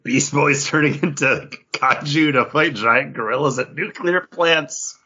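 A man's voice: fairly high pitch, a little slow, sharp tone, a little hiss or hum. A man chatting casually about comic books as a podcast co-host. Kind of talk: casual chat reviewing comics. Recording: dull lo-fi sound.

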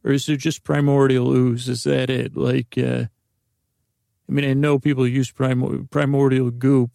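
Male podcast host, rambling comic monologue, monotone delivery, gravelly fry, trips over his words. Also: mid-range voice, normal speed, no room sound, clean studio sound. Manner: rambling, full of restarts and self-interruptions; acts out imagined dialogues.

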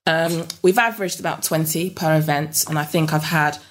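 A young woman with a London accent, a guest in a relaxed interview, talking with many ums and restarts. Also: low-pitched, moderate pace. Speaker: interview guest, business network founder.

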